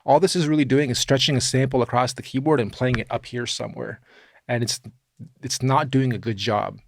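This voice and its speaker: A man narrating a software tutorial in an informal, opinionated way, like a music production teacher talking through his steps. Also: mid-range voice, fairly even intonation, a little fast, gravelly, no echo, clean studio sound.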